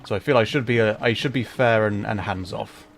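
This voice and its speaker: A man with a fairly low voice hosts a laid-back gaming stream. He talks casually and carefully, often hedging as he goes, with faint music playing behind him. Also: brisk, creaky. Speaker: livestream host, gamer. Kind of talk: casual livestream chat while playing a video game.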